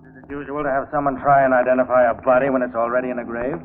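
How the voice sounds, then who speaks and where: Casting: man playing guilty ex-district attorney, nervous, average pitch, old radio drama with narrow, tinny sound. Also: little pitch movement, soft background music, lightly acted. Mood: uneasy. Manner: halting, self-justifying, nervous. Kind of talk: 1940s radio drama acting. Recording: scratchy, band-limited 1940s radio recording.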